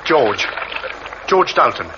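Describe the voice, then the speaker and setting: A man's voice: mid-range voice, very animated, noisy background. An actor playing an awkward, intense young man with a dangerous streak in an old radio crime drama, on a muffled recording. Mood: shy, halting. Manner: halting, intense.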